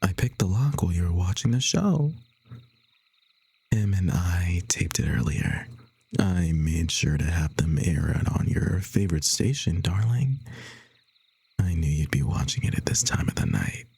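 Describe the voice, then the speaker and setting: A man voicing a playful, obsessive kidnapper who dotes on his captive, in breathy, half-whispered ASMR roleplay. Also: low-pitched, lively intonation, a little slow, darkish tone, no echo, faint music behind, voice pushed down, heavily acted. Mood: teasing menace. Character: obsessive playful male yandere kidnapper, smug and doting.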